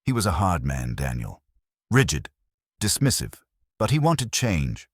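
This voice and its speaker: A man voicing a bitter, defeated, ambitious woman in an audiobook, halting and confessional. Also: deep voice, wildly swooping pitch, slightly rough, no echo, clean studio sound, exaggerated voice. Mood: bitter regret.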